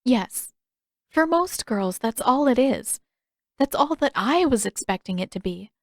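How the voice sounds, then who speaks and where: Woman doing a soft polite teen princess for an audiobook, kinda sad and earnest, voice up high, dry sound. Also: lively intonation, measured pace, dry recording. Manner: soft, polite, careful phrasing. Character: gentle, regretful teenage princess, earnest and formal. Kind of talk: audiobook character dialogue.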